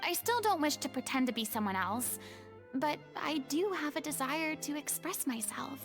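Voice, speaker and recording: Poised ex-goddess actress, high voice, gravelly edge, eloquent and formal, game dialogue over music. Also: female voice, lively intonation, normal speed. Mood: reflective. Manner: formal, articulate and reflective. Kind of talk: game voice acting.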